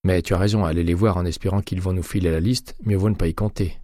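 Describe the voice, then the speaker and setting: A man reading an audiobook, voicing a determined, quick-thinking woman accomplice with a brisk, conspiratorial manner. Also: low voice, steady tone, mellow, dry recording, studio quality. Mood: matter-of-fact.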